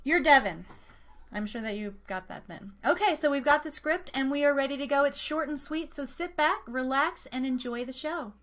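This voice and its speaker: A woman with a high, bright voice, hosting a live show. She talks casually and informally, as a host from the USA. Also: lively intonation, normal speed.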